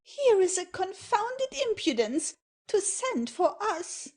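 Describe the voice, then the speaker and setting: A woman plays a haughty older gentlewoman in a classic comedy audio drama. Her high voice swoops slowly with disdain and disgust. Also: very animated, exaggerated voice.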